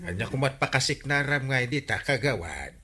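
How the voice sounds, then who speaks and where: An actor playing a puzzled, blustery village captain with an Ilocano accent in a radio comedy drama. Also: male voice, medium pitch, big pitch swings, fairly quick, pushed and bright, slightly rough, exaggerated voice. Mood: bemused, blustery. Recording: noisy track with clicks.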